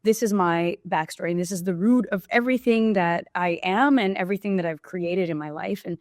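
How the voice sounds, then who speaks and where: A woman's voice: lowish voice, fairly quick, darkish tone, dry recording, clean studio sound. A woman from Brazil, a podcast guest, talking openly and reflectively about her life in casual conversation. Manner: reflective, open storytelling. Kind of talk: casual interview chat.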